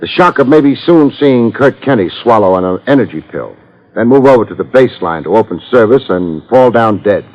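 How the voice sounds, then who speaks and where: Old-radio private eye, male actor: American, low voice, clipped, sardonic, tough, muffled sound. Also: lively intonation, a little fast, lightly acted. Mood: dry, probing. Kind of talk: 1950s radio detective acting.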